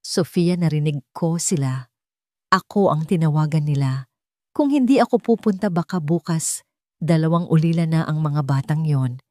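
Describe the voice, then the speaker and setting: A Filipino woman voicing a commanding, driven male boss in an audiobook, calm but firm and authoritative. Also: low voice, moderate pace, no room sound, studio quality. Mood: determined. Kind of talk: audiobook character acting.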